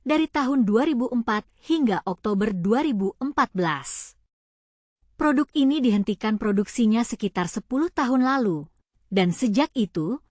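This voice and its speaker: High-voiced woman narrating news. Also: a little mellow, no room sound, studio quality.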